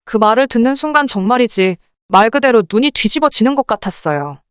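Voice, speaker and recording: Woman narrator, clear smooth voice, very animated pitch, reading a dramatic personal story, indignant delivery. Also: brisk, dry recording, clean studio sound. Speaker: narrator of dramatized listener stories. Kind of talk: scripted first-person story narration, radio drama style.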